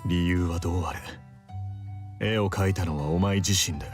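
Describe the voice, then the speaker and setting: A man playing a composed, committed attorney in a visual novel, speaking in a measured, serious way. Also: deep voice, lightly acted. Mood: calm, firm. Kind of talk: Japanese visual novel voice acting. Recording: game music behind the voice.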